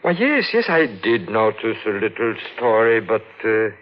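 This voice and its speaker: An actor playing a soft-spoken, courteous and menacing cemetery caretaker who is secretly a murderer, in an old-time radio thriller. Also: male voice, on the low side, very animated, normal speed. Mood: feigned innocence. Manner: courteous, menacing, dwelling morbidly on flowers and death. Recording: muffled, noisy 1940s radio recording.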